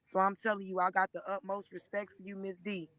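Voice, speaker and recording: A hoarse woman in her thirties gives an emotional, preachy testimony on a live stream, speaking into a phone and often calling listeners by name. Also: medium pitch, completely flat delivery, fairly quick, a bit bright. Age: 30s. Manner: earnest and preachy, repeatedly addressing listeners by name.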